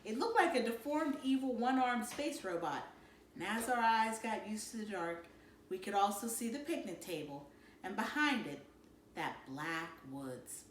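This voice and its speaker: A woman reading a children's novel aloud in an animated, dramatic storytelling manner. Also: mid-range voice, unhurried. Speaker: read-aloud narrator. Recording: roomy, distant mic, faint noise.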